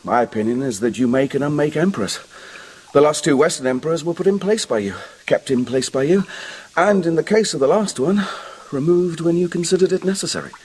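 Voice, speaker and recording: An actor in a radio drama playing a bold, shrewd barbarian diplomat, persuasive, frank and assured. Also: male voice, fairly high pitch, animated, a little fast, darkish tone, breathy edge. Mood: bold, probing.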